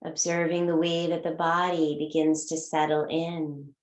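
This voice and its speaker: A woman teaching a guided meditation, giving soothing, unhurried instructions with long pauses between phrases. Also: low voice, level tone, roomy sound.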